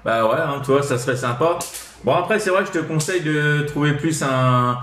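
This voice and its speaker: A man's voice: on the high side, fairly quick, fairly bright tone, soft background music. A man with a French accent from France, chatting casually as a CB radio operator giving advice, in a hesitant, rambling way full of tag words. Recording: roomy echo.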